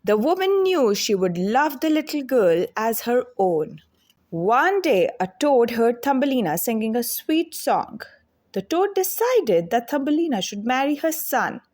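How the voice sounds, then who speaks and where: Expressive woman storyteller with a lively pitch. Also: measured pace. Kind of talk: scripted children's fairy tale read-aloud.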